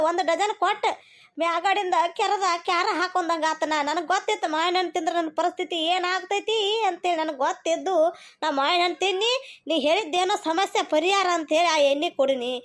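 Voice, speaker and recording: Woman as cartoon mother-in-law, extremely high voice, North Karnataka accent, cantankerous, earthy, insistent scolding. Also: fairly bright tone. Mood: indignant complaining. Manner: scolding, repeats words insistently. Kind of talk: cartoon comedy dialogue.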